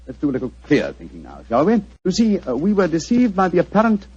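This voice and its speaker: A self-assured British gentleman detective in an old radio drama. His delivery swings widely in pitch as he lays out his reasoning with theatrical precision, the voice a little husky. The 1940s recording is muffled and dull. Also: wildly swooping pitch, fast, heavily acted. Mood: coolly analytical.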